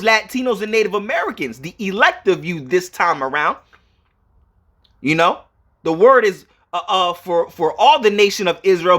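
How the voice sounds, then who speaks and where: The man you would hear teaching at an informal street-corner Bible study, high, sharp voice, hammering each verse home again and again. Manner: emphatic and repetitive, punctuated with check-in questions. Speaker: preacher. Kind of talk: informal sermon with scripture reading and commentary.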